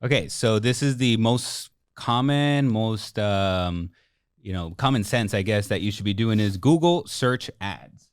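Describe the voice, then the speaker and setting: Low, clean-voiced man chatting business. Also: expressive, unhurried, smooth, dry recording, studio quality. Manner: informal, explanatory, addresses listeners directly. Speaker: podcast co-host, marketing agency coach. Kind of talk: casual business podcast conversation.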